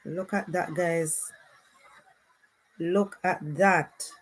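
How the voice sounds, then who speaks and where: A woman's voice: fairly low pitch, fairly even intonation, poor audio. A woman with a Jamaican accent giving casual, unscripted live commentary as a streamer, often repeating her exclamations. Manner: unhurried, repetitive exclamations.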